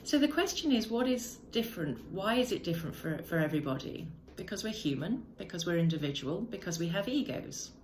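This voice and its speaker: A middle-aged woman speaking in a measured, reflective monologue, often pausing with "uh" and correcting herself. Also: lowish voice, sing-song, a little hiss or hum. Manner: measured, thoughtful, with frequent "uh" and self-corrections. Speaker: podcast host.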